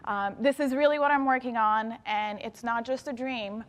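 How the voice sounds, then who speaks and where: A woman's voice: a little high, steady tone, normal speed, big-room sound. A woman in her thirties from Bulgaria, an engineer and planetary scientist giving an enthusiastic talk to young students. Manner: enthusiastic, addresses audience directly. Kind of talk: inspirational talk to youth. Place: event hall.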